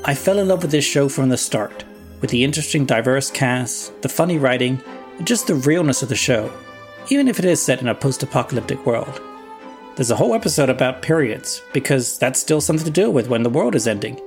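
A man's voice: medium pitch, expressive, fairly quick. Male podcast host for scripted outro and credits, mid-range voice, music bed behind. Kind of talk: scripted promo and credits narration.

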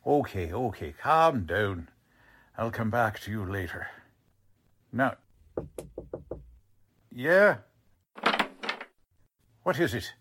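Man as a gruff, slow-drawling wisecracking police lieutenant. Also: on the low side, sing-song, a bit bright, slight background noise, full character voice. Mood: blunt, suspicious. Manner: blunt, mocking, slangy. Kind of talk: 1940s radio drama acting.

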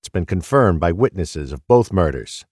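A man's voice: sits low, expressive, moderate pace, darkish tone, husky, dry recording, clean studio sound. A man playing a serious, blunt police detective in a crime audio drama. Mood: intent, serious. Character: serious male police detective on a murder case.

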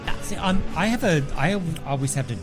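A man's voice: a little high, lively intonation, fairly quick, music in the background. A man who performs as a drag queen, chatting casually as a podcast guest in a big, self-mocking voice. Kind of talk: casual chat.

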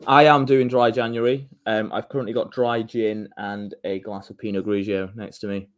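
A man's voice: on the low side, flat delivery, normal speed. A man on a podcast making casual banter in a dry, teasing manner. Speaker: podcast panellist.

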